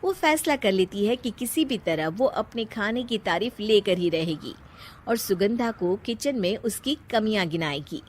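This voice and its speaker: A woman's voice: mid-range voice, lively intonation, unhurried, a little hiss or hum. A woman narrating a scripted story, playfully addressing her listeners.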